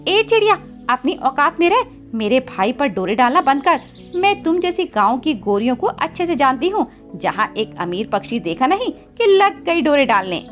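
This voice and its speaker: A woman acts out a spoiled, contemptuous young female pigeon from a children's cartoon story. The voice is very high and swoops with taunting, bossy scorn. Music plays behind a slightly dull recording. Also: sing-song, normal speed. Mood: angry, scornful. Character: haughty, bullying rich young female pigeon, sneering city girl.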